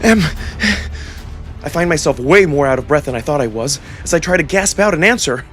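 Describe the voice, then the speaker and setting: A man narrating a first-person story in a theatrical, expressive, scripted style. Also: on the high side, fairly quick, soft background music. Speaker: narrator and voice actor.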